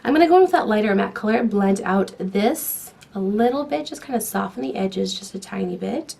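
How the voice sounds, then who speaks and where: A woman's voice: mid-range voice, expressive, a little fast, vocal fry, a little mellow. A young woman vlogger, talking through a makeup tutorial in a chatty, enthusiastic way, with her voice a little off from a lingering cold. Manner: chatty, enthusiastic, talks while applying makeup. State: voice affected by a cold. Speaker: beauty vlogger. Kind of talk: casual makeup tutorial talk.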